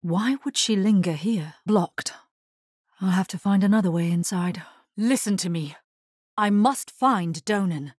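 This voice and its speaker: An actress voicing a grave, guarded female necromancer in a video game, steely and resolute, with measured, hushed delivery and a husky, breathy voice. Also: medium pitch, dry recording, clean studio sound. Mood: grave, urgent. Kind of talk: dramatic video game character lines.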